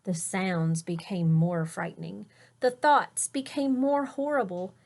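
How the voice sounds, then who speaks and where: Slow, gentle woman teacher reading aloud. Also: fairly low pitch, vocal fry. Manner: explains words and points out pictures, drops to a whisper. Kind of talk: reading a picture book aloud to children.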